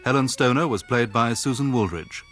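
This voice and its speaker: A man's voice: mid-range voice, normal speed, a bit bright. A man reading scripted credits as a radio announcer. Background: violin music.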